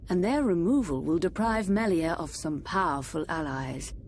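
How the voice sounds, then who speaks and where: An actress playing a scheming, haughty royal consort in a fantasy video game, with a cold, disdainful delivery. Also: female voice, fairly low pitch, animated, normal speed, darkish tone, a little hiss or hum. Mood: cold contempt.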